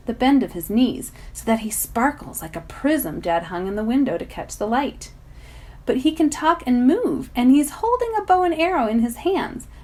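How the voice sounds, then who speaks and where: A woman reading a children's novel aloud as a volunteer, in expressive narration. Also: fairly high pitch. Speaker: volunteer story reader.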